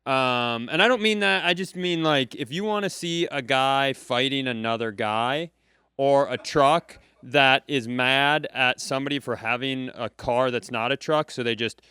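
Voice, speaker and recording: A man's voice: medium pitch, unhurried, bright, forceful tone, clean tone, dry recording. A man co-hosting a casual comedy podcast, telling a long, dry, rambling story full of self-corrections, recorded live at a comedy club. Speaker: podcast co-host.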